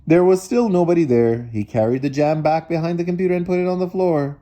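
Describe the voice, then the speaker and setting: A man reading a children's storybook aloud in an animated style, breaking off for wry, casual asides. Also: a little high, a little fast. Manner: wry, digressive commentary. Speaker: storybook reader.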